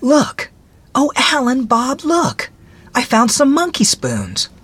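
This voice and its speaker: A woman's voice: sits high, slow pace, slightly rough, heavily acted. A woman playing an eager, vivacious young engaged woman in story dialogue. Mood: eager delight. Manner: excitable, eager, chattering.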